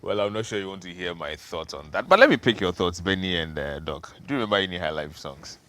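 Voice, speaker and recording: Man, host, Ghanaian accent, deep pitch, animated intonation, casual teasing banter. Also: fast talker. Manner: playful, teasing. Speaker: show host. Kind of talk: casual banter, questioning guests.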